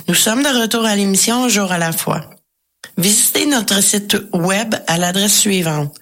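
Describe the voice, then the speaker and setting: A woman with a medium-pitched voice works as the host of a programme. She delivers announcements and hands over to her guest in a presenter's style. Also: a bit bright. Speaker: radio show host.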